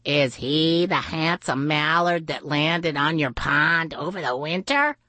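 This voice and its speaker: A woman voicing an eager, affectionate young female duck with a happy, excitable manner in a children's storybook. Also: medium pitch, slow pace, no echo. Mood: interested.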